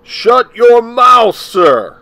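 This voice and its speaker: A man voicing a gruff, short-tempered older judge who roars sternly at the courtroom. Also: very high-pitched, big pitch swings, extremely slow, sharp tone, dry recording, pitched above the performer's own voice, heavily acted. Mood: roaring. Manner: roaring, stern.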